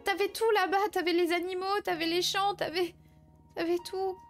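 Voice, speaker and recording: A woman's voice: very high voice, little pitch movement, normal speed, fairly bright tone, faint music behind. A woman playing a tender, eager twenty-seven-year-old daughter in improvised French game roleplay, speaking hesitantly. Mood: earnest, emotional. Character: young actress daughter, 27, sincere, tender, eager.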